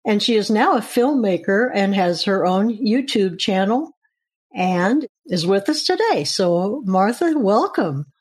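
A woman's voice: mid-range voice, expressive, unhurried, breathy edge. A woman hosting a casual interview, asking long, rambling questions with friendly restarts. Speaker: interview host.

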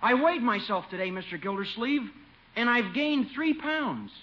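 An actor playing an earnest, boyish young husband in a 1950s radio sitcom. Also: male voice, very high voice, very animated, moderate pace. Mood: earnest worry. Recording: muffled old radio broadcast.

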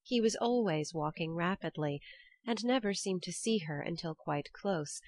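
A woman's voice: lowish voice, dry recording. A woman reading scripted storybook narration as an audiobook narrator.

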